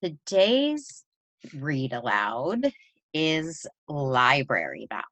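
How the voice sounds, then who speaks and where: A woman with a low voice reads a picture book to children. Her delivery is unhurried and highly animated in pitch, with deliberate stress on key words. The sound is close and dry. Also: no room sound, highs cut off. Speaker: presenter reading a children's picture book aloud. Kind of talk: expressive read-aloud storytelling for children.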